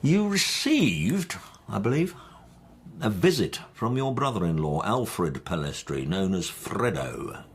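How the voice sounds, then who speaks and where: An actor playing a formal, methodical police superintendent in a radio drama, questioning in a measured, insistent way. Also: male voice, lowish voice, very animated, slightly rough, heavily acted. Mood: coolly probing. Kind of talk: BBC radio drama dialogue.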